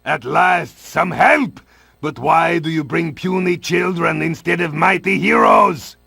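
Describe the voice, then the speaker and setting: A bombastic fairy commander from a cartoon game. He barks orders in a bright, raspy, high-set voice, gruff and declamatory. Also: unhurried, no room sound, clean studio sound, full character voice. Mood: indignant. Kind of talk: cartoon video game acting.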